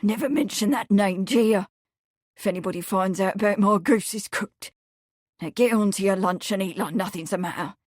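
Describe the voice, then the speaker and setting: A fierce, secretive footman, as a woman narrator puts him on, pitched below her usual voice. He speaks low, hushed and furious. The recording is dry and close. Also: normal speed, no room sound, lightly acted.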